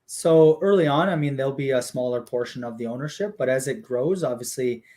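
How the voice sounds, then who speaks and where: A man explaining a business plan in casual conversation, steady and full of fillers like "you know" and "um". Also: mid-range voice, fairly quick. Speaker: guest explaining a hockey league business model.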